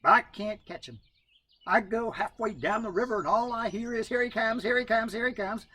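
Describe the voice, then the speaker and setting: A man's voice: high voice, normal speed, pushed and bright, voice pushed up. A man voicing a down-on-his-luck male raccoon in a folk tale, with a drawling, complaining manner. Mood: glum.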